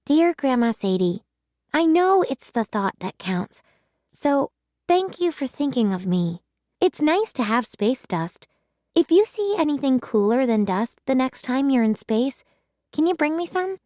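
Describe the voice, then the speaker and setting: A woman's voice: sits high, very animated, measured pace, dark tone, dry recording, studio quality, voice pushed up. A woman voicing an earnest, defensive young schoolgirl in a children's storybook, plain-spoken and pleading. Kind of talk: children's storybook read-aloud.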